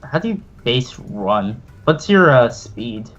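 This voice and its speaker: A man running a tabletop game as game master, narrating casually and haltingly with offhand swearing. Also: medium pitch, very animated, a bit bright, highs cut off, soft background music. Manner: casual, profane, hesitant narrating.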